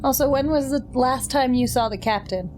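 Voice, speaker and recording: A woman's voice: high-pitched, normal speed, darkish tone, soft background music, pitched above the performer's own voice, close to an everyday voice. A woman playing a polite starship doctor in a tabletop game, asking questions in a hesitant, apologetic way. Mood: questioning.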